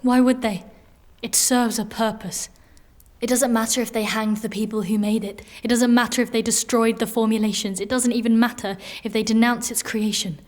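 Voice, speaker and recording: An actress playing a defiant, haunted fantasy warrior in an audio drama, terse, intense and hushed. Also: female voice, on the high side, little pitch movement, moderate pace, lightly acted. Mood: grim, bitter.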